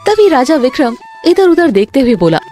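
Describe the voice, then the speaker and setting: A woman tells a cartoon story in a fairly high voice. Her delivery is expressive, with lively swings in pitch, over a soft music bed. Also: moderate pace. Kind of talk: scripted cartoon story narration.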